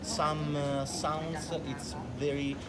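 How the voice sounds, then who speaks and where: A man from Italy with an Italian accent, a language student answering interview questions haltingly with many fillers and restarts. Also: a little high, flat delivery, over a noisy crowd. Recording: loud street and traffic noise.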